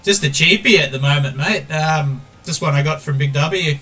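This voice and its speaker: A man's voice: a little high, moderate pace, pushed and bright, soft background music. An Australian man streaming a game, chatting casually and calling everyone mate while he reads viewer messages aloud. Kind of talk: casual gameplay stream chat.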